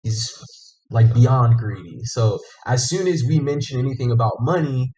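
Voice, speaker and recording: A man's voice: medium pitch, normal speed, darkish tone, low-quality recording. A man hosting an investigative show, narrating and explaining findings to a guest. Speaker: scam investigator and show host.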